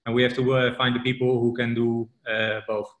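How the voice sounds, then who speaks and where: A man in his forties answers on a video panel from a room with large windows. His laptop mic picks up a roomy echo, and his pitch sits in the middle. He repeats words as he feels his way through each point. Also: level tone, fairly quick. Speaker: panelist, franchise business owner. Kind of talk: panel discussion.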